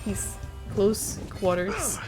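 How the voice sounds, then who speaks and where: A woman casually commentating as she streams a game, talking to herself in a relaxed way with mild swearing. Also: medium pitch, drawn-out words. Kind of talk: casual live gameplay commentary. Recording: game music loud behind voice. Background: video game music.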